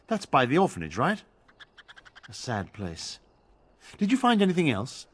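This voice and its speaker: An actor playing a grave, pious templar in a fantasy video game, speaking formally and solemnly. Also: male voice, medium pitch, huge pitch swings, normal speed, husky. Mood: grave, hopeful. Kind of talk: video game drama acting.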